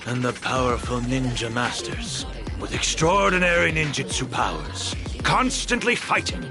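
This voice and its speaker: A man playing a patient, philosophical ninja mentor in a video game, speaking in measured, solemn phrases. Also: medium pitch, big pitch swings, gravelly. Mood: grave, philosophical. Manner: deliberate, measured, lecturing in short phrases. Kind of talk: dramatic video game voice acting. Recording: music behind the voice.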